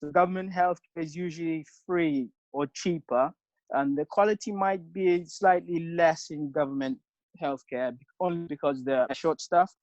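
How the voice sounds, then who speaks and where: A man's voice: fairly high pitch, moderate pace, a little mellow, dry recording. A man from Zambia contributing to an online webinar Q&A, hesitant with many fillers. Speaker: webinar attendee. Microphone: video call. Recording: dull lo-fi video call audio.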